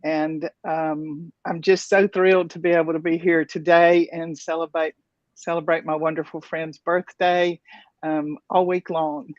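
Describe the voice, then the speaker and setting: A woman from Alabama introduces herself a little shyly on a video call. Her voice is low and even, and it comes through dry and muffled. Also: a little slow, no echo. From central Alabama. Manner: shy, hesitant. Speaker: guest.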